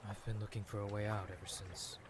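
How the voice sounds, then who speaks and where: A man's voice: fairly low pitch, level tone, a little fast, slight background noise, lightly acted. A man playing a protective, earnest vigilante mentor in an audio drama. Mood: urgent, sincere.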